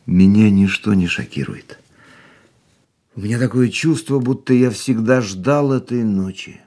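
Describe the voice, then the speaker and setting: Low-voiced romantic racing driver, intimate. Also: male voice, measured pace, darkish tone. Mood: tender, intimate. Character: ardent romantic male racing driver, reflective and tender. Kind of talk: radio play acting.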